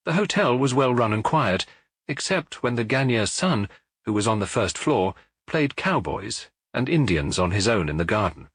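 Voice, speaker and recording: A man reading a detective novel aloud in a measured, expressive storytelling manner on a close studio mic. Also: mid-range voice, slightly rough, dry recording. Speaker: audiobook reader. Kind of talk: scripted narration of a detective novel.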